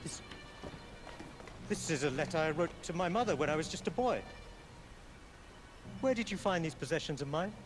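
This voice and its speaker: An actor playing a dignified, aggrieved prince, courtly and formal, in a video game drama. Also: male voice, on the high side, expressive, normal speed, pauses often, over music, lightly acted. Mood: troubled. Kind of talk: dramatic game cutscene acting.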